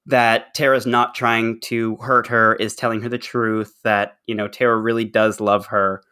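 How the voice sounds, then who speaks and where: A man co-hosting a podcast, talking casually and enthusiastically, often halting and repeating words. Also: on the low side, little pitch movement, pushed and bright, clean tone. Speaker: podcast host. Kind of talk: casual chatty discussion.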